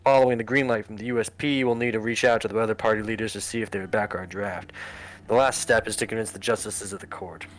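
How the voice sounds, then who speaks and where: A man voicing a formal government adviser who briefs the president in a measured, expository way in a political game. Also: lowish voice, fairly even intonation, close to an everyday voice. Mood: formal briefing. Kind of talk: scripted political game voice-over. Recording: music under the voice. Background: background music.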